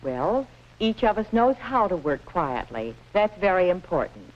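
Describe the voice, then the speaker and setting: A woman's voice: on the low side, very animated. A woman playing a patient 1950s schoolteacher in an educational film, phrasing things carefully and instructively, on a muffled old soundtrack. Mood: encouraging. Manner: measured, didactic, carefully phrased. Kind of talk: scripted educational film acting.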